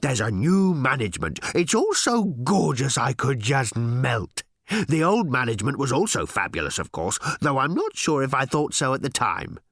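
A man's voice: medium pitch, very animated, normal speed, vocal fry, a bit bright, no room sound, clean studio sound, full character voice. A man voicing a small, servile flying robot that gushes breathlessly with delight in a storybook reading. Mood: panting, gushing. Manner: breathless, squealing, moaning with delight.

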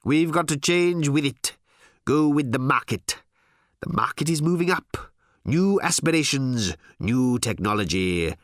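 A man voicing a smooth, cold, pompous editor-in-chief in an audiobook, speaking in a deliberate, condescending manner. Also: medium pitch, animated, no echo, clean studio sound, pitched above the performer's own voice, lightly acted. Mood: grandiose, insistent.

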